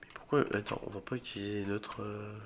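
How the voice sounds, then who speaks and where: A young man with a cold, voice a bit rough, joking and getting spooked as he plays a horror game for his channel. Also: fairly low pitch, level tone, a little fast, noisy background. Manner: jokey, easily spooked, mutters reactions and swears. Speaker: gaming video creator. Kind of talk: solo horror game let's play commentary.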